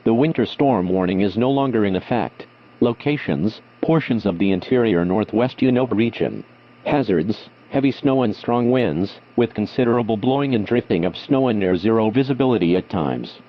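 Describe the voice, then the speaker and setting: Male weather radio announcer, lowish voice, formal and automated, reading emergency bulletins through dull, lightly noisy radio. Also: expressive, moderate pace. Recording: muffled lo-fi weather radio, humming noise.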